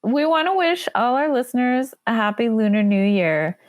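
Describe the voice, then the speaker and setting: A woman whose first language is Korean, raised in the United States, chatting reflectively as a podcast guest with soft hesitations. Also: on the high side, sing-song, moderate pace, no echo, clean studio sound. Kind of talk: casual podcast chat.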